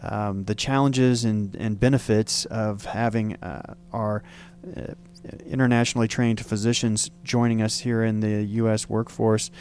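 A man's voice: on the low side, fairly even intonation, a little slow, few pauses, darkish tone. A man hosting an interview, talking at length with many fillers and restating his guest's points. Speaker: radio show host. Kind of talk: interview talk.

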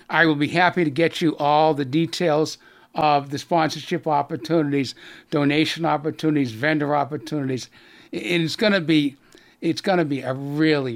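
Earnest Boston-accented man, slightly high. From Boston area, USA. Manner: earnest, halting, many restarts and fillers. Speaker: guest. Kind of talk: radio interview.

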